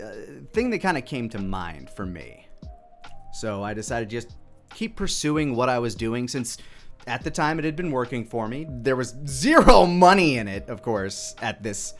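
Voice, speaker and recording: A man giving casual, off-the-cuff commentary as a video creator, rambling and thinking aloud as he goes. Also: mid-range voice.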